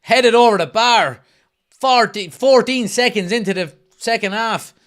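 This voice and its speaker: A man's voice: high voice, measured pace. A young Irish man hosting a live football watch-along stream, chatting casually, reading viewers' messages aloud and calling the match action. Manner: reads viewers' chat messages aloud and answers them; excited play-by-play bursts during match action.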